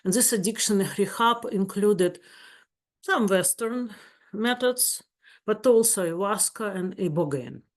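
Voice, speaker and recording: A woman's voice: mid-range voice, unhurried. A woman with a Russian accent, a therapist giving a webinar talk in her second language, searching for words and speaking earnestly. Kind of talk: webinar presentation.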